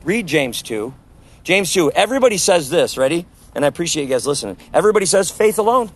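Loud, insistent street preacher man. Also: a little high, big pitch swings, fast. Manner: emphatic, scripture-quoting, insistent. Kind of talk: impassioned preaching conversation.

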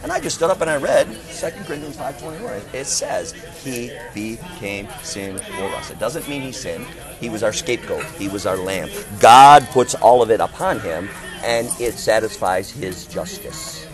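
Man, street preacher, big booming voice, average pitch, forceful rhetorical delivery, open air, audible music in the background. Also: expressive, moderate pace. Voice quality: big, loud voice. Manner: emphatic, declamatory, rhetorical questions.

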